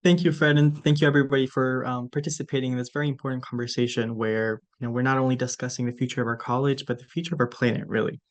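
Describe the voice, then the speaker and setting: Earnest young man with medium-pitched voice. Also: flat delivery, very fast, hardly any pauses. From Ecuador, moved to the US at eleven. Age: young adult. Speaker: student leader, panelist. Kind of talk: earnest panel remarks. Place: virtual panel over video call.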